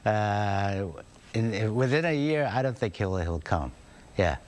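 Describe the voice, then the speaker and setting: A man answering questions as a guest analyst in a news interview, halting and full of fillers and restarts. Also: fairly low pitch, lively intonation.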